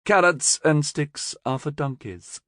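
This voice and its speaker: A man's voice: fairly high pitch, a little slow, a bit bright, no room sound, studio quality, pitched above the performer's own voice. A man voicing a cool, blunt female security director who talks in a clipped, firm, matter-of-fact way.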